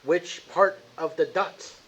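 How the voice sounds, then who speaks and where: A man's voice: a little high, takes it slowly, a bit bright. A man playing a tabletop game with friends, chatting in a blunt, informal, slangy way. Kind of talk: casual banter. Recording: muffled home recording with faint hiss.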